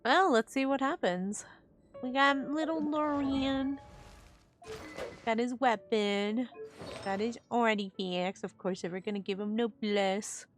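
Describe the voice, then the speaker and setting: A woman's voice: fairly high pitch, slow pace, faint music behind. A woman giving casual playthrough commentary as a game streamer.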